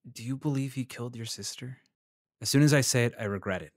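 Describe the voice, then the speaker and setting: A man plays a tactful investigative journalist in a podcast drama. His voice sits at a middle pitch and moves carefully, measured and a touch apologetic, recorded very dry. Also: dry recording, lightly acted. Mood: hesitant, apologetic. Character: earnest, tactful male freelance journalist narrating his investigation. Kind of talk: fiction podcast acting, scripted first-person narration.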